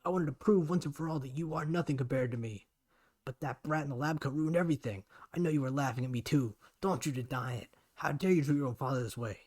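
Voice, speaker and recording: A man voicing a cruel, jealous older scientist who sneers coldly and then shouts at his daughter. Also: mid-range voice, fairly quick, breathy edge, lightly acted. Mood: furious. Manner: cold, sneering, explodes into shouting.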